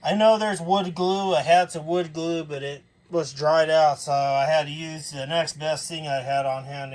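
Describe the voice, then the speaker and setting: A man's voice: a little high, a bit bright. A man giving a casual, unscripted overview as a hobbyist video host, rambling and correcting himself as he goes.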